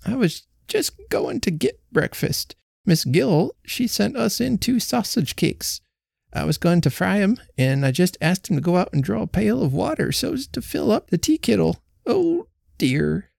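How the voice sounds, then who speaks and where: A fretful old countrywoman, as a male reader puts her on, her voice quavering high and thin with worry. She frets in rustic dialect, breathy and soft, slow as she dwells on her fears. The sound is dry and close. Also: sing-song, dry recording, full character voice. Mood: anxious fretting. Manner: tremulous, anxious, rustic dialect. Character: fretful timid old farm wife.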